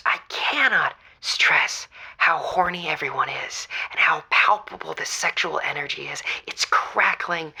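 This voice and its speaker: A woman playing an earnest, rambling caller on a comedic radio-show drama, breathy and given to asking rhetorical questions as she tells her story. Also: on the low side, bright, forceful tone, heavily acted, poor audio. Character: Morgan, gushing theater-loving caller to a radio show.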